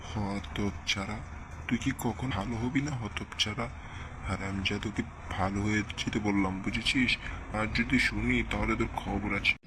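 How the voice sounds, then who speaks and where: A man's voice: on the low side, fairly even intonation, normal speed, lightly acted. A man voicing a sly, grumbling old rascal uncle who wheedles and justifies himself in a comedy cartoon. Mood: scolding. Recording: clearly noisy background.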